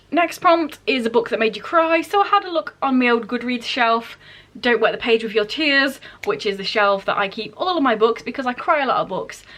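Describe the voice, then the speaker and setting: High-voiced woman, chatty and informal. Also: a bit bright. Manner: informal, self-deprecating, dry asides, mild swearing. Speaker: book vlogger. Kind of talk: casual chatty vlog to camera.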